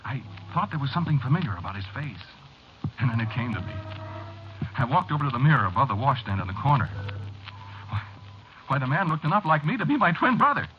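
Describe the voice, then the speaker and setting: An American actor playing a haunted, rattled businessman who tells his eerie story haltingly and confidingly in a 1940s radio horror drama, on a muffled, noisy old recording. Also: mid-range voice, very animated, lightly acted. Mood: shaken, anxious. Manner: halting, repeating himself, confiding. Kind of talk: 1940s radio acting, first-person horror narration.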